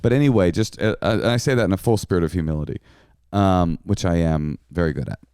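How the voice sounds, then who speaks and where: A middle-aged man with a low, smooth voice, slightly hoarse, rambling through casual podcast chat with self-mocking bravado. The sound is close and dry with no room around it. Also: quick pace, darkish tone, no room sound. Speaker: podcast co-host.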